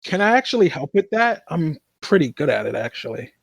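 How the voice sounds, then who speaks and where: A man's voice: on the high side, very animated, a little fast, gravelly, slightly rough, dry recording. A man chatting casually as a tabletop game player, hesitantly repeating and rewording himself as he goes.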